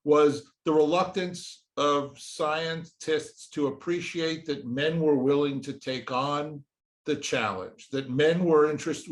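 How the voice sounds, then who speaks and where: A man in his sixties, a professor, answering interview questions earnestly, often restarting his sentences as he searches for words, in an office. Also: a little high. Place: indoor office with shelves and pictures.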